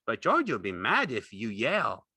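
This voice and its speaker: A man voicing a big, childlike, slow-witted man who speaks simply and earnestly in ungrammatical rural English, in an audiobook. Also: medium pitch, huge pitch swings, pushed and bright, slightly rough, no room sound, voice pushed up, full character voice. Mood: remorseful. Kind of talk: audiobook character acting.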